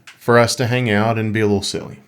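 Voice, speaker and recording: A man's voice: fairly low pitch, flat delivery, fast, clear, resonant tone. A man co-hosting a gaming podcast, chatting casually and jokingly, putting some playful drama on anything he reads out. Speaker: podcast co-host. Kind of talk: casual conversational podcast chat about gaming.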